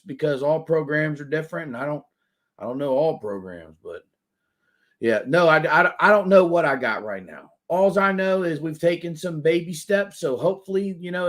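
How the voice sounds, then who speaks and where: A man hosting a casual commentary stream, rambling with restarts and mocking remarks. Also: on the high side.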